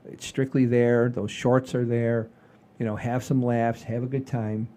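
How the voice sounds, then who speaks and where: A man hosting a comedy show in casual chat, halting and repeating phrases for emphasis. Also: mid-range voice, level tone, dark tone.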